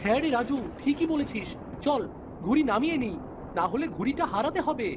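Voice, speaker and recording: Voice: high-pitched, animated, brisk, background noise. A performer voicing sensible village boys in a cartoon who warn their friend in a friendly, chatty way. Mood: cautious. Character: sensible village boys, cautious kite flyers. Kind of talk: cartoon voice acting.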